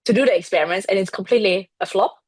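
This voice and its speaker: Woman, from Austria, English speaker, breathy tone, blunt and animated, dry sound. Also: medium pitch, rapid-fire, no echo. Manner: frank, animated, laughs often. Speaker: podcast guest, brand strategist. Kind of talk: casual interview chat.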